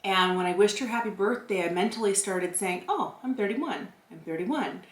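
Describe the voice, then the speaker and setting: A woman's voice: mid-range voice, animated, normal speed. Chatty woman in her forties, echoey room. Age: 40s. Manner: chatty and self-deprecating, narrating as she applies makeup. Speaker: beauty vlogger. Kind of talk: casual makeup tutorial chat.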